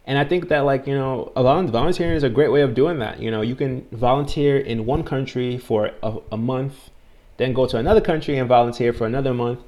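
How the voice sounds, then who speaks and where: A man's voice: mid-range voice, fairly quick. Chatty young man in his twenties. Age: 20s. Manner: relaxed, heavy use of "like" and "you know", frequent restarts. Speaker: English tutor. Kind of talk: casual conversation lesson.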